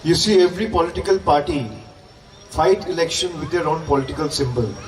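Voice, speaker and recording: A man's voice: on the high side, brisk. A man with an Indian accent giving an emphatic, repetitive political stump speech at a public rally. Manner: emphatic, repetitive, with rhetorical questions. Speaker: political campaign speaker. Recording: distant, echoey, noisy public-address sound.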